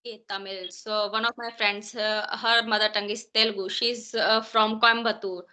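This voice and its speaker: A woman's voice: medium pitch, level tone, normal speed, pushed and bright. A woman hosting a casual conversation practice chat, with many fillers. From India. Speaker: host.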